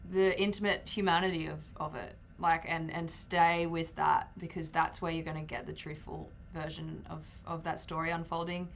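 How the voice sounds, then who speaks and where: Playful woman with a lowish voice. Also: level tone, creaky, a little hiss or hum. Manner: playful, self-mocking, halting. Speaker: actor being interviewed. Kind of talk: casual press junket chat.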